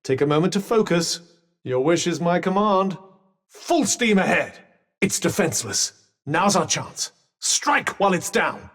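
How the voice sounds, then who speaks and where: A man's voice: high voice, sing-song, a little slow, a bit bright, recorded from a distance, full character voice. A man with a British accent voicing a talking magic cuff in a video game, a dry, eloquent companion who coaches through battle. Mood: confident, encouraging. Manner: sardonic, eloquent, urgent coaching.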